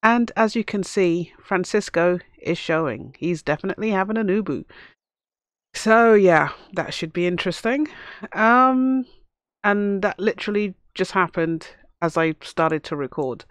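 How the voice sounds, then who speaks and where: Hoarse woman gamer, casual running commentary, chats to her game characters, dry close sound. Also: medium pitch, expressive, measured pace, no echo. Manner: chatty, talks to the game characters. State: hoarse from a cough. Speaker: let's play host.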